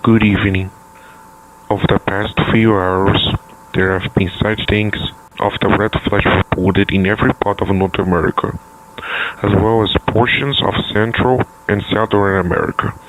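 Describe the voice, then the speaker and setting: A low male voice, a man acting as a solemn official in a disaster drama. He delivers a formal, measured public address over faint noise. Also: fairly even intonation, a bit bright, natural voice, low-quality recording.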